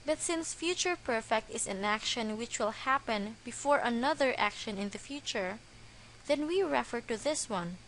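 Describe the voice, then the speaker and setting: A woman narrating a scripted English grammar lesson in a slow, careful, deliberate way. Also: on the high side, animated, a bit bright, a little hiss or hum, rough recording.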